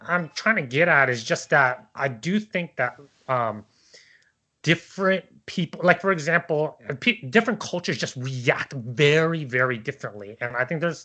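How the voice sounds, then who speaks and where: A man's voice: on the high side, animated, normal speed, pushed and bright. A man in casual conversational debate, thinking aloud in a discursive, hesitant way and often checking for agreement. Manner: hesitant, discursive, seeks agreement with tag questions.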